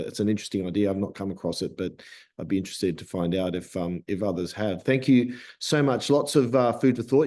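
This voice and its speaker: A man's voice: fairly low pitch, darkish tone. An Australian man moderating an online panel, rambling and stumbling over repeated words.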